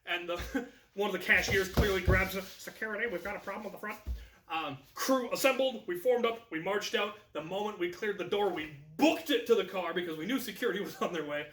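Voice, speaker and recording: An American man in his thirties telling a casual anecdote as a video host, in an animated manner. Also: high voice, a little fast, a little hiss or hum. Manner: animated, acts out quoted lines. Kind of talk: casual anecdotal storytelling to camera. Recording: echoey room, distant microphone.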